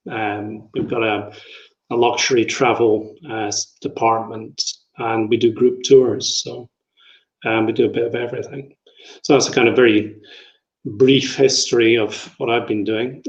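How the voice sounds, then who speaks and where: A Scottish man from Edinburgh in his fifties, a travel company director giving a rambling, self-deprecating interview with plenty of ums over a video call. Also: mid-range voice. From Scotland. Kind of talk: casual conversational interview. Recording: roomy, dull video-call audio.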